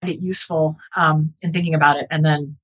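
A woman's voice: sits low, fairly even intonation, brisk, husky, dull audio. A woman speaking as an expert guest in a conversational academic interview, explaining earnestly and often checking in with "right?". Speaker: interview guest, geneticist and author.

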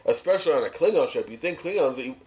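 A man with an American accent and a fairly high voice talks through a review as a podcast co-host. His delivery is conversational and opinionated, with a sardonic edge. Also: expressive, fast, darkish tone. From United States. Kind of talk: casual review chat.

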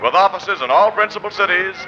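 A man's voice: high voice, animated, fast, sharp tone. An American man announcing on 1940s radio in a formal, emphatic sales style. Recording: muffled old 1940s radio, music behind.

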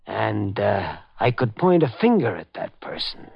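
A sly, slangy house painter with a gravelly rasp and a low voice drawls out his insinuations, as a man puts on the disguise. The voice sounds husky and rough. It comes through a dry, dull old radio recording. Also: big pitch swings, unhurried, dry recording, full character voice. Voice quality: gravelly, raspy. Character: gruff male house painter, a sly blackmailer. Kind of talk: 1940s radio drama acting.

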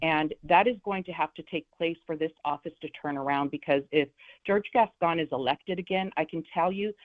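A woman from Los Angeles County, a prosecutor running for office, speaking firmly and deliberately as a radio guest. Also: deep voice. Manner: firm, measured, with frequent "uh" fillers. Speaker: prosecutor and political candidate. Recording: phone line.

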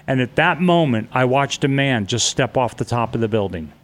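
A man's voice: mid-range voice, lively intonation, normal speed, fairly bright tone. Blunt storytelling man, swearing freely. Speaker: interview guest. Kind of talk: personal storytelling in an interview.

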